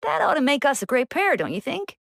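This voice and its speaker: A woman's voice: sits high, sing-song, fairly quick, vocal fry, heavily acted. A woman voicing a playful, mischievous young church deacon for a game, chatty, breezy and teasing. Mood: cheeky. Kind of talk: scripted game character acting.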